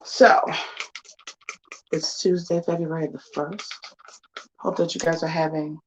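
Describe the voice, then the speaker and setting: A woman's voice: fairly low pitch, muffled recording, slight background noise. A woman in her forties chatting casually to her viewers while getting ready, sassy and full of slangy asides. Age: 40s. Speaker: vlogger. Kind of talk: casual get-ready-with-me chat.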